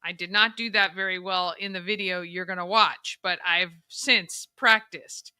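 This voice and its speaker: A woman hosting a local interview show, upbeat and chatty with quick yeahs and enthusiastic remarks. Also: lowish voice, fairly even intonation, sharp tone, clear, resonant tone, dry recording. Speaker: host.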